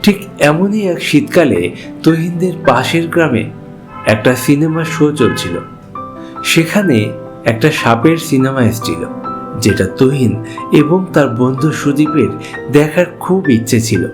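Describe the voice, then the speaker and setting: A man's voice: on the high side, very animated, a little slow. A man narrating a scripted ghost story as a storyteller. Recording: clear music bed under voice.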